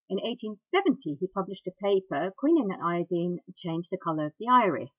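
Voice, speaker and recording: A woman's voice: fairly low pitch, dry recording. Chatty woman host, dull dry sound. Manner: chatty asides, hesitant fillers. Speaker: radio host. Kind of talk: informal talk on iridology history.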